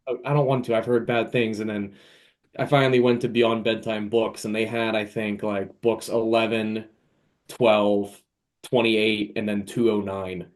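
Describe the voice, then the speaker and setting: Low-voiced male author chatting as guest. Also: flat delivery, moderate pace. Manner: enthusiastic, conversational fan talk. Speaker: podcast guest, fiction author. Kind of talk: casual interview chat.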